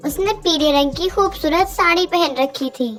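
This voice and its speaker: A woman's voice: very high voice, normal speed, a bit bright, faint music behind. A woman narrating a scripted cartoon family story.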